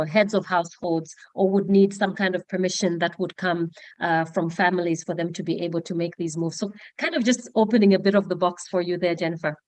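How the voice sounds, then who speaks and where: A woman with a lowish voice moderates a panel discussion. She asks long, winding questions and restarts her sentences often. The sound is dry and close. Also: darkish tone, no room sound. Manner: long rambling questions, many "you know" fillers.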